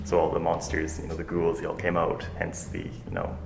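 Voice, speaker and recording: A man's voice: rumbling low, lively intonation, fairly quick, creaky, roomy sound, music in the background. A man with an Irish accent co-hosting a radio show, chatting casually with many fillers. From Ireland. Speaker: radio co-host.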